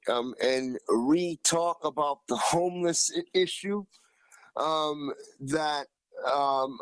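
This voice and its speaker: Slow, halting male news co-host. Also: a little high, no room sound, low-quality recording. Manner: halting, deliberate, repeats and corrects numbers. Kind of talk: conversational news reporting, reading out figures.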